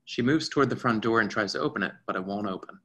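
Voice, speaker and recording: A man's voice: lowish voice, fast, pushed and bright. A man reading stage directions as scripted narration for a play reading on a video call. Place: indoors at home, on a video call.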